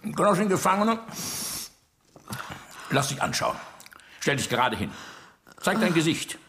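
A man's voice: fairly high pitch, big pitch swings, measured pace, sharp tone, heavily acted. An actor playing an older, menacing dictator in a radio drama, mocking and commanding as he interrogates. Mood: mocking, doubting.

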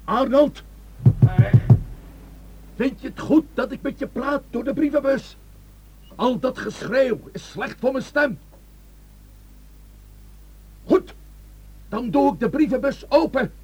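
A man's voice: very high voice, slow pace, pauses often, a little mellow, a little hiss or hum. An actor playing a stern, authoritative man taking charge of a crisis in a radio drama, grave and emphatic. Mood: coaxing, raised voice.

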